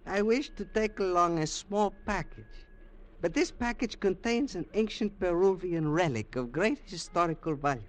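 A suave old Peruvian collector. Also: male voice, high-pitched, lively intonation. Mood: persuasive. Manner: formal, slightly halting and persuasive. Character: older Peruvian male collector, smooth and evasive. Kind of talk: 1950s radio drama acting.

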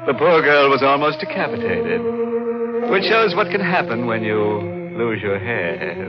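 An actor playing a gleefully macabre, punning host who introduces an old-time radio horror show. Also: male voice, mid-range voice, very animated, unhurried. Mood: ghoulish glee. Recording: muffled 1940s radio, loud music behind. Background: loud music.

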